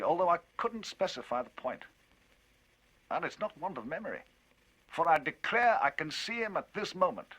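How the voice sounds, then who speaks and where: A man voicing an excitable gentleman recounting a tale, emphatic and husky, in a storybook reading. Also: high voice, voice pushed up, full character voice, low-quality recording. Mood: agitated. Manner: emphatic, rising, animated storytelling.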